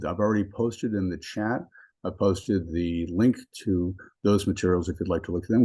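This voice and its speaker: A man, a law professor, opening and hosting a webinar over a video call, speaking in a measured way with frequent ums and restarts. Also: deep voice, mellow. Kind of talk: webinar introduction.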